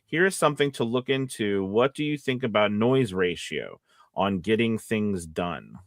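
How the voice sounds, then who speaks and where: A man hosting a casual livestream discussion, often repeating words as he thinks out loud. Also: on the low side, expressive, moderate pace, no room sound, clean studio sound. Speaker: livestream host.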